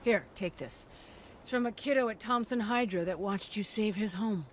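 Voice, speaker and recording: An actress playing a sly, warm woman arms trader in a video game, with playful, theatrical phrasing. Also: medium pitch, moderate pace, a little hiss or hum, close to an everyday voice. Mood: teasing. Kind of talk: video game cutscene acting.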